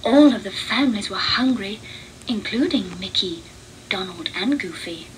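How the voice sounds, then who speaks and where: A woman narrating a children's read-along storybook with deliberate, expressive phrasing. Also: mid-range voice, slight background noise, low-quality recording.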